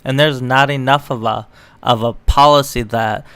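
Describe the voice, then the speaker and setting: A man's voice: mid-range voice, fairly even intonation, normal speed, a bit bright, smooth, no room sound. A man reading news articles aloud and adding casual, sarcastic, opinionated commentary as an online political commentator. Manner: sarcastic, mocking.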